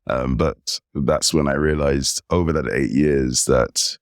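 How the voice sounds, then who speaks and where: A man in his forties with an English accent, telling stories as an animated guest in a casual interview. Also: extremely low pitch, slow, no echo, clean studio sound. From United Kingdom. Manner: animated storyteller. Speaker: podcast guest.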